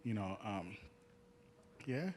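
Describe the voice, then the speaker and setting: A young Jamaican man, a nursing student, telling an audience about his path in an earnest, halting way, with many restarts. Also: lowish voice, expressive, darkish tone, a little hiss or hum. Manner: earnest, halting, frequent restarts, chuckles at his own stories. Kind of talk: personal story talk to an audience.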